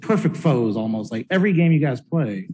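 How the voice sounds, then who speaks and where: A man's voice: medium pitch, expressive, fairly quick, mellow, breathy edge. A male sports reporter asking questions in a casual, chatty way.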